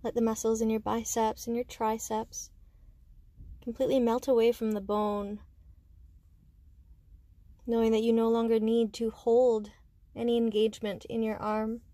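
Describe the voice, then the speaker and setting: Mellow, gentle woman guiding meditation. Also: on the high side, flat delivery, no echo. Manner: gentle, unhurried, pausing between short phrases. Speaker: meditation guide. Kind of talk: guided meditation, slow soothing instruction.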